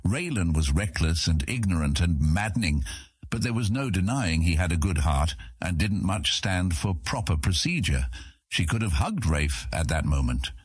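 Very deep, husky male narrator. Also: sing-song, moderate pace, no room sound, studio quality. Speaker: audiobook narrator. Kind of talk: scripted fantasy narration.

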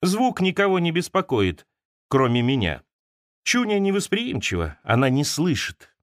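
Lively male audiobook narrator, dry close sound. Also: fairly high pitch, normal speed, no echo. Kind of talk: literary essay read aloud, scripted narration.

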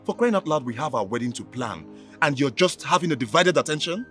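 An impatient nigerian fiance in a movie, stern n resentful, voice kinda high, music under it. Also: male voice, expressive, a little fast, fairly bright tone. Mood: annoyed. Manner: stern, emphatic. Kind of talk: film drama acting.

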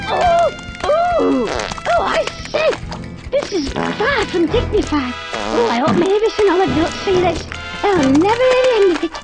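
A woman's voice: very high-pitched, big pitch swings, measured pace, loud music behind the voice, heavily acted, poor audio. A woman voicing a prim, fussy middle-aged cow, muttering genteelly to herself in a cartoon video game. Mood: flustered.

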